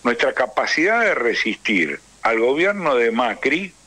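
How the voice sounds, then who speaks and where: An Argentine man speaking as a political interview guest, emphatic and rhetorical, building points through deliberate repetition. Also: medium pitch, big pitch swings, sharp tone, slightly rough, dry recording. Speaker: interview guest, trade union leader.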